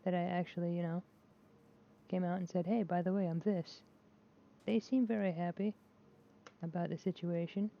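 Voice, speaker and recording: A woman's voice: lowish voice, steady tone, moderate pace, creaky, long pauses. A woman vlogging casually while she plays a game, talking in a rambling, hesitant way with many restarts and filler words, candid and self-deprecating. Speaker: gaming vlogger. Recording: dull lo-fi mic with faint noise.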